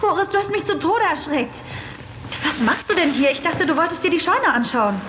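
An actress playing a frightened young woman who pleads with figures in the dark. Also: very high-pitched, lively intonation, fairly quick, background noise. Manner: breathless, pleading questions. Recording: roomy echo under heavy music.

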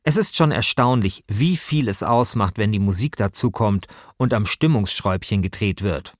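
A man's voice: fairly low pitch, moderate pace, dry recording, studio quality. A man hosting a podcast with scripted narration. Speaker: host.

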